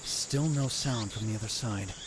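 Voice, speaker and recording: A man voicing a dramatic young self-styled mad scientist, narrating in the first person in a hushed, grave, theatrical way. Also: on the low side, normal speed, noisy background, close to an everyday voice. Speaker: narrator and lead in fan dub. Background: music.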